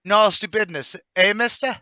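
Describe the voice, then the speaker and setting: A man's voice: sits high, moderate pace, sharp tone, dry recording. A man voicing a chatty, plain-spoken young working-class man in a radio mystery drama. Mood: chatty, opinionated. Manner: colloquial, folksy, chatty.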